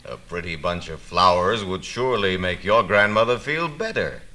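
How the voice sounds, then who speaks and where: A man voicing a sly, polite big wolf in a storybook, soft and smooth. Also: sits low, expressive, moderate pace.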